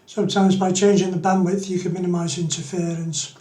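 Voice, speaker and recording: High-pitched man presenting a tutorial, roomy sound. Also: level tone, fairly quick, mellow, soft background music. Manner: explanatory, step-by-step. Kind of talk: unscripted screen-demo tutorial.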